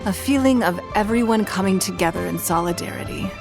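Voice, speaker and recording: Actress as a composed noble leader, formal, measured, faint music under her, mid-pitched. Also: vocal fry, natural voice. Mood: calm. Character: poised, authoritative noblewoman leader, adult. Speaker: city leader. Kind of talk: video game voice acting.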